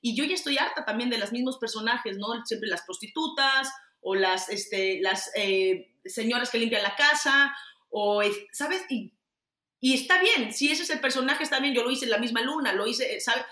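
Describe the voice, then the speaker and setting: Mexican woman, middle-aged, bright high-ish voice, candid and emphatic, echoey room; interview guest. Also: animated, normal speed. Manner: candid, emphatic, frequent restarts and fillers. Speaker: actress and producer. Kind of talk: interview answers.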